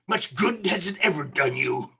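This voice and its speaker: An actor in a radio play playing a bitter, miserly old businessman with a raspy, curt, scornful delivery. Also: fairly high pitch, animated, moderate pace, bright, forceful tone, full character voice. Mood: scornful, irritated. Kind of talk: theatrical radio play acting.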